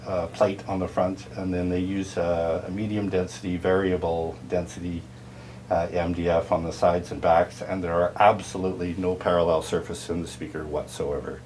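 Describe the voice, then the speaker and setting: A man giving an informal, technical product tour in a halting, explanatory way. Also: low voice, level tone, darkish tone, slight background noise. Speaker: audio dealer and turntable restorer giving a product tour.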